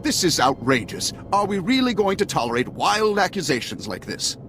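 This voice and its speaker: An actor playing a scheming, self-righteous politician, speaking in a pompous, oratorical way in a video game. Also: male voice, high-pitched, big pitch swings, moderate pace. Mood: indignant. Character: scheming, self-righteous senator, secretly a traitor, male. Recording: music behind the voice.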